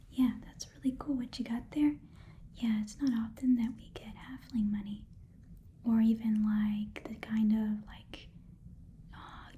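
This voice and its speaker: A woman's voice: on the high side, warm tone, slight background noise. A woman playing a small, blunt goblin pawnshop keeper in a casual, muttering ASMR roleplay whisper. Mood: curious, distracted. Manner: rambling, casual, muttering, slangy. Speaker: ASMR performer playing a shopkeeper.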